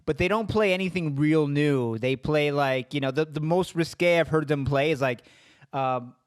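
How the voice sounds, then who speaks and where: A man's voice: fairly high pitch, normal speed, clean tone, dry recording, studio quality. A man hosting a podcast in an informal, inquisitive interview style, chatting casually and interjecting. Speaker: podcast host.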